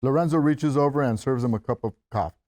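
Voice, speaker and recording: A man's voice: medium pitch, quick pace, darkish tone, no room sound, studio quality. A man reading stage directions as narrator at a script table read, in a scripted narration style.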